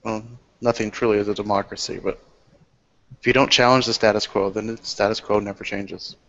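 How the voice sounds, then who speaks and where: A man roleplaying his character in a tabletop game, speaking in a calm, measured, knowing way, in a dull lo-fi recording. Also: on the low side, vocal fry.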